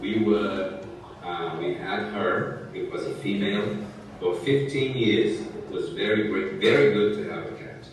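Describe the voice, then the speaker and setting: A man in his sixties from Brazil, a native Portuguese speaker, talks to a class in a slow, careful way and keeps checking that everyone follows. His voice sits a little low. The sound is very echoey and picked up from a distance, with a faint hiss. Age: 60s. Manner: speaks slowly and clearly, checking understanding with "okay?". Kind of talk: talking to students in a language class.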